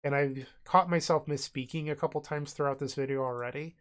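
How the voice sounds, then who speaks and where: A man's voice: fairly high pitch, steady tone, fairly quick, smooth. A man with a cold, sounding congested and nasal, casually narrating his online card game move by move as he thinks aloud. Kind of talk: casual gameplay commentary.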